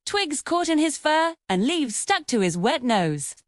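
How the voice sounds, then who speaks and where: A woman's voice: sits high, sing-song, unhurried, no echo, studio quality. A woman reading a scripted children's story as its narrator.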